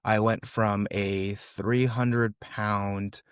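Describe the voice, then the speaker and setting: A man's voice: fairly low pitch, flat delivery, extremely slow, clear, resonant tone, no room sound, clean studio sound. A man in his twenties, a native Russian speaker raised in California, telling his story as a podcast guest in an earnest, casual way, full of "um" and "brother". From USA, California.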